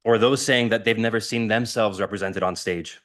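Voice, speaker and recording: A man whose first language is Spanish speaks in a lowish voice at a podium microphone in a city council chamber. He is a stage performer giving a measured public comment full of figures. His delivery stays heartfelt and steady. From Mexico, raised in Utah. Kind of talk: prepared public comment speech.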